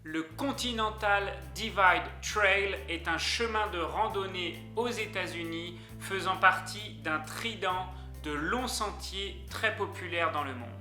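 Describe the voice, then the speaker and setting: A young man narrating a travel list video in an upbeat way, speaking directly to his viewers. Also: high-pitched. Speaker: YouTuber. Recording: echoey room with music underneath.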